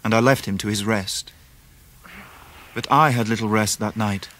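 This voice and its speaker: A man playing an earnest, sensitive young gentleman who tells his own story in a radio drama, polite and wry. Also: on the low side, fairly even intonation, normal speed, natural voice. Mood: wistful reflection. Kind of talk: dramatised first-person narration, 1990s radio drama.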